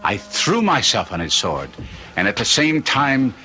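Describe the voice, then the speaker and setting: An actor playing a tormented young gentleman in an old-time radio drama, with intense, theatrical and formal archaic delivery. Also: medium pitch, very animated, unhurried, faint music behind. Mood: frenzied, grim. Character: Guido, tormented young gentleman recounting a duel with a monster.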